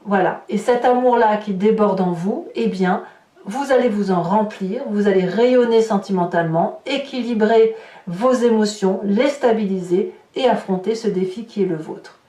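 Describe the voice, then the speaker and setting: A woman's voice: medium pitch, normal speed, mellow. Woman reading tarot in an echoey room. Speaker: tarot reader, host of horoscope guidance videos. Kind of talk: unscripted card reading addressed to viewers. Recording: roomy echo, distant microphone.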